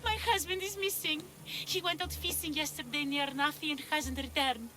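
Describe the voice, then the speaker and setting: A woman's voice: sits high, steady tone, normal speed, close to an everyday voice. A female actor playing an anxious but determined fisherman's wife in a video game cutscene, earnest and imploring. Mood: fearful, worried.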